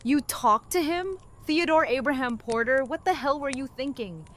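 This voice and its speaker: A woman playing a tough, exasperated, sharp-tongued scolder in an audio drama. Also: high voice, animated, moderate pace. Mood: angry, alarmed. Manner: sharp, confrontational.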